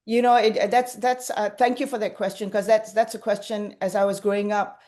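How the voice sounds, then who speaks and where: A woman's voice: mid-range voice, flat delivery, a little fast, darkish tone. A woman from Malaysia speaking on a webinar panel over a video call, hesitant, with many fillers and restarts. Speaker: panelist, cultural intelligence practitioner.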